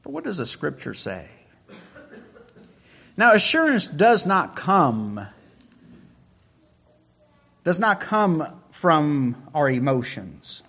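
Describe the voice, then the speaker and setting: A man's voice: fairly high pitch, wildly swooping pitch, takes it slowly, vocal fry, pauses often, fairly bright tone, breathy edge, highs cut off. A man preaching a sermon who often repeats words as he builds a point.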